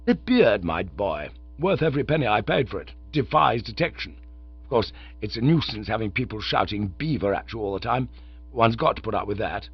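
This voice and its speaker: Man doing a chummy young chap, teasing, lively, gravelly, husky, dull dry sound. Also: lowish voice, a little fast, heavily acted.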